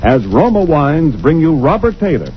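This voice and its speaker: A man giving a dramatic 1940s radio introduction that pushes the sponsor. His voice is slightly high and very animated. The sound is dull and lo-fi, with faint music behind. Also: moderate pace, slight background noise. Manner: dramatic, selling. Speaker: radio announcer.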